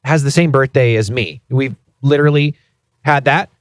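A man's voice: medium pitch, normal speed, dry recording, studio quality. A man in his thirties co-hosting a casual podcast, telling a story in a playfully indignant way. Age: 30s. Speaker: podcast co-host.

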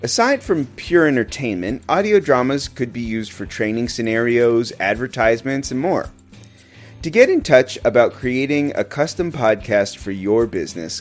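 A man introduces a podcast episode from a script. His mid-pitched voice moves up and down in a lively way, with faint music underneath. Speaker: podcast host and producer.

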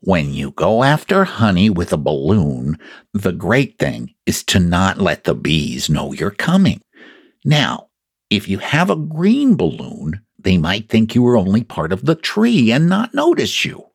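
A man's voice: low voice, wildly swooping pitch, a little slow, gravelly, slightly rough, no echo, clean studio sound, heavily acted. A man voicing a small, earnest toy bear from a children's storybook, ponderous and solemn as he schemes. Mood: thoughtful, solemn.

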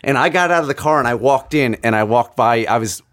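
A man's voice: medium pitch, fairly quick, fairly bright tone, dry recording, studio quality. A man co-hosting a podcast, chatting casually and recounting things he remembers. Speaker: podcast co-host. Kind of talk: casual chat.

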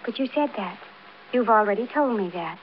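A woman's voice: medium pitch, animated, moderate pace, lightly acted. An actress playing a fragile, newly widowed American woman, genteel, hesitant and politely evasive, in old-time radio drama. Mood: evasive, tense. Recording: muffled, noisy vintage radio broadcast.

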